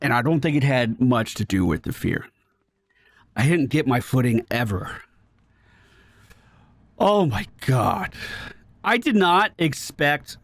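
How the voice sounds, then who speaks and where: A man doing live gaming commentary, excitable and exclamatory, swearing and rapidly repeating words. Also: mid-range voice. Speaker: video game streamer.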